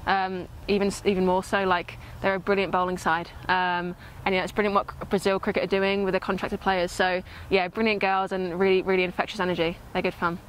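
Quick, even-toned young sportswoman. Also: fairly low pitch, low-quality recording. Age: 20s. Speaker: cricket player. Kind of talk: post-match interview answers. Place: outdoor cricket field. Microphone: lapel mic. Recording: faint outdoor ground noise.

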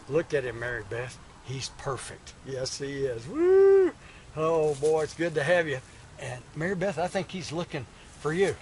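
A man's voice: fairly high pitch, a little hiss or hum, natural voice. A man playing a folksy frontier cowboy. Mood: awed. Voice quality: folksy drawl, homespun talk. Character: folksy frontier cowboy, new father, flustered. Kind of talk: one-man stage monologue.

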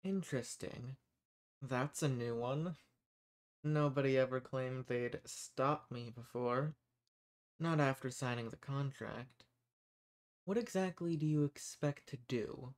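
A man's voice: medium pitch, natural voice. Man playing a smug, dominant ancient archdemon. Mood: amused, menacing. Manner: condescending, amused, drawn-out emphasis. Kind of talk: scripted roleplay audio monologue.

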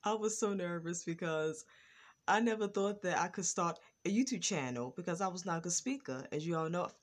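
A woman's voice: on the low side, expressive, brisk. A woman vlogger talking casually to her phone camera, stuttering and restarting her words. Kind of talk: casual reaction vlog. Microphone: phone front camera.

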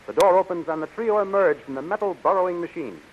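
A man as an old-time radio serial announcer, narrating dramatically. His voice is high, and the recording is muffled with faint hiss. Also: fast, lightly acted. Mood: dramatic urgency. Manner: dramatic, declamatory. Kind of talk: 1930s radio scripted narration.

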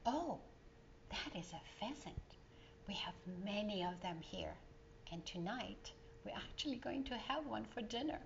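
A woman's voice: mid-range voice, sing-song, measured pace, creaky, a little hiss or hum. A woman voicing a kindly, knowing aunt in a storybook, explaining things patiently. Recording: dull, slightly lo-fi sound.

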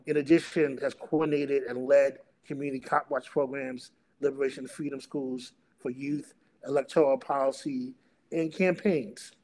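A middle-aged man moderating a panel and introducing its topic and speakers, in a halting delivery that often repeats words. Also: mid-range voice, darkish tone, slight background noise. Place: remote video call.

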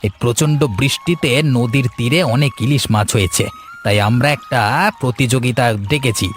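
Cartoon village fixer male actor: medium pitch, clever, confident, laying things out over music. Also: close to an everyday voice. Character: clever village young man, confident schemer and helper. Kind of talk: Bengali cartoon story voice acting. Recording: music behind the voice.

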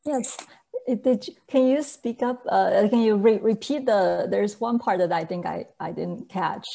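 A young woman from southeast China speaks in a mellow, dark-toned voice, feeling her way through answers with plenty of "um"s and restarts. She talks casually over a webcam from a large, bare loft room. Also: medium pitch, unhurried, creaky. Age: young adult. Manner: hesitant, many fillers and restarts. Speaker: choreographer guest. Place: large empty loft room with brick walls, indoors. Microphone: webcam on a video call.

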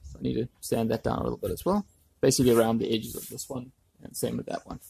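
Male DIY presenter, mid-pitched with some fry, informal workshop talk, light noise behind. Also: flat delivery, fast. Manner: informal, thinking aloud. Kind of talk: casual hands-on workshop commentary. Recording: background noise, clicks and handling sounds.

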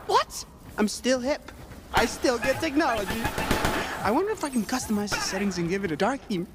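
A man playing a cocky, excitable, tech-savvy young hustler in a video game, talking boastfully. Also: extremely high pitch, brisk, very noisy. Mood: excited boasting.

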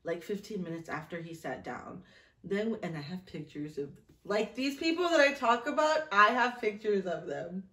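A woman in her late thirties reading a diary aloud with casual, expressive asides. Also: mid-range voice, moderate pace. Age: late 30s. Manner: expressive, giggly, self-interrupting. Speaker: vlogger mom reading her old journal. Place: small indoor room, seated at a table. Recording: roomy echo, distant mic.

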